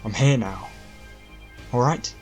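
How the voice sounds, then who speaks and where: A man voicing a commanding but caring young king in an audiobook, firm, brisk and reassuring. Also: medium pitch, darkish tone, faint music behind, natural voice. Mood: concerned, gentle. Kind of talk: audiobook character voice.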